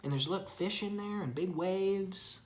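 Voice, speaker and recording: Low-voiced woman reading a children's story. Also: a little slow, reverberant, slight background noise. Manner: expressive, asking the child simple questions. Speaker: storybook reader to a young child. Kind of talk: children's Bible story read aloud with chat.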